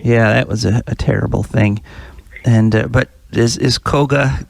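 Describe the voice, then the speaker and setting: A man's voice: medium pitch, steady tone, unhurried, vocal fry, no echo. A man hosting a radio talk show, reading sponsor notes and chatting easily with his co-host. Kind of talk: casual radio chat.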